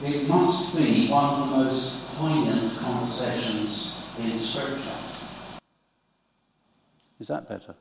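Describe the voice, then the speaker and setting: A man's voice: medium pitch, slow, long pauses, background noise. An older man preaching a conversational, storytelling sermon in a reflective, hesitant way. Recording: echoey church hall with long reverb.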